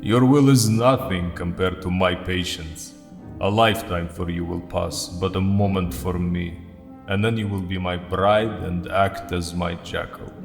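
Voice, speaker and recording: An ancient vampire lord speaks with deep, gravelly authority in a radio play. He taunts and commands with formal menace, his voice ringing in a long reverb. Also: steady tone, unhurried, faint music behind. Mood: gloating menace.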